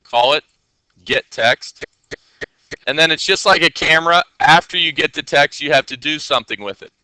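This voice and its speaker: A man's voice: on the high side, moderate pace, sharp tone, clear, resonant tone, no room sound, low-quality recording. A man teaching coding in a casual screen-share tutorial. He talks in a loose, chatty way with many fillers, and keeps checking in with his listeners.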